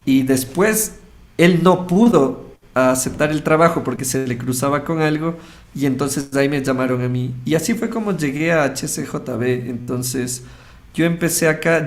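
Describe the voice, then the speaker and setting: Higher-voiced Ecuadorian man telling his story. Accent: Ecuadorian Spanish. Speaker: radio host interviewed as guest. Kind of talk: casual personal testimony, storytelling.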